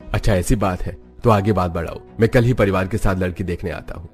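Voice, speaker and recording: Aging cartoon father, played by a man: low voice, homesick and stern head of the house, music behind. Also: a little fast, natural voice. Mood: decided. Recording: background music under the voice.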